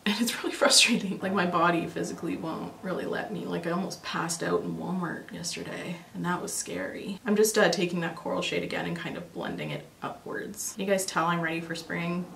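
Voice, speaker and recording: A young woman with a slightly raspy voice, chatting casually and rambling with many fillers while recording a makeup tutorial at home. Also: on the low side, a little fast, hardly any pauses, a bit bright, roomy sound, a little hiss or hum. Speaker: beauty vlogger. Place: small home filming room, indoors.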